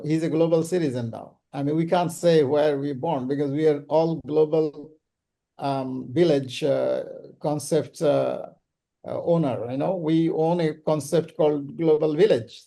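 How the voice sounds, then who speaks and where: A man hosting an online business summit, talking casually and haltingly with many ums and uhs over a video call. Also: fairly high pitch, vocal fry, mellow. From Bangladesh. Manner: hesitant, full of ums and uhs. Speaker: summit host.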